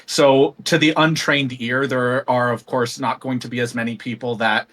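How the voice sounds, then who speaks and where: A man talking as a guest singer in a casual interview, thoughtful and rambling with many pauses and ums. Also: mid-range voice, flat delivery, fairly bright tone.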